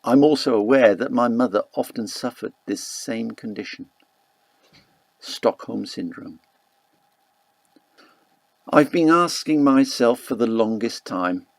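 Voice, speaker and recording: A man narrating a first-person literary novel as an audiobook, reading in a measured, deliberate way. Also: mid-range voice, vocal fry, breathy edge, dry recording.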